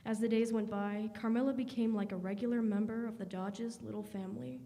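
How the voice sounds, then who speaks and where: A woman's voice: mid-range voice, steady tone, fairly quick, dark tone, lightly acted. A woman reading scripted narration and announcements for a radio drama. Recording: echoey hall.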